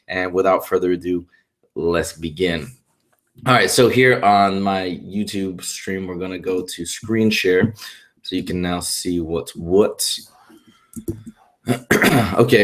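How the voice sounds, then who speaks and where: A man with a hoarse voice, tutoring math on a live stream and working problems out loud in an upbeat, chatty way. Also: on the low side. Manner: upbeat, chatty, thinks aloud. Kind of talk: live-streamed test walkthrough. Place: indoors at a whiteboard and screen share.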